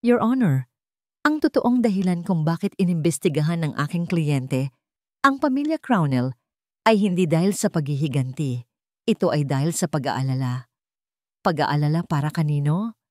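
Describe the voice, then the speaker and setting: Woman as male courtroom lawyer, lowish pitch, formal, pointed, relentless, deliberate phrasing, dry sound, dramatic story. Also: animated, dark tone, no room sound. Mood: forceful.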